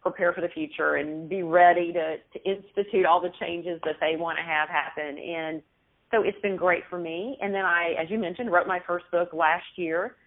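A middle-aged Texan woman speaks as an interview guest in a friendly, unhurried way. Her voice sits fairly low and comes through a thin, tinny phone line. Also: fairly even intonation. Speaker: author and business owner, guest. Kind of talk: casual interview over the phone.

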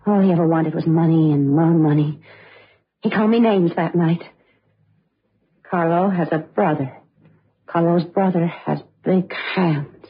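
An actress playing a bitter young heiress confessing to murder, with theatrical delivery in an old-time radio crime drama. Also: female voice, on the low side, measured pace, long pauses, breathy edge, full character voice. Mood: rueful confessing. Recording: dull lo-fi old radio recording.

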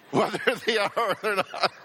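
A male pastor delivers his sermon slowly and deliberately, with a bright, forceful tone. His voice sits a little high for a man. Long reverb trails each phrase through a large church hall. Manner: emphatic preaching with call-and-response.

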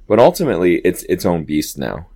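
A man with a low voice, an actor telling stories in a casual interview and acting out quoted lines. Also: brisk, slightly rough. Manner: animated, chatty, acts out quoted dialogue. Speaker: Broadway actor guest.

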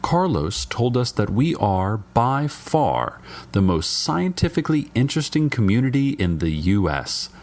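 An actor playing a small-town radio host in an audio drama, delivering strange local news in a measured, deadpan, lovestruck way. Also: male voice, mid-range voice. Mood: deadpan, smitten. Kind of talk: scripted radio-show monologue in audio fiction.

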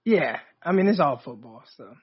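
Male co-host, raspy and husky, highish voice, easygoing, a bit muffled. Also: big pitch swings, moderate pace. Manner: laid-back, filler-heavy talk. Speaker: podcast co-host. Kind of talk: casual chat. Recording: slightly dull.

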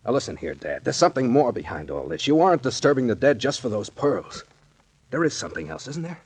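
1940s radio serial captain: brisk, stern, husky, very expressive. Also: male voice, medium pitch. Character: brisk, stern male sea captain confronting his father. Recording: old 1940s radio recording.

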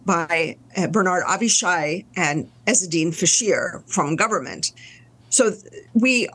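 Low-voiced woman, measured academic tone. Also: animated, a bit bright. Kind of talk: interview guest.

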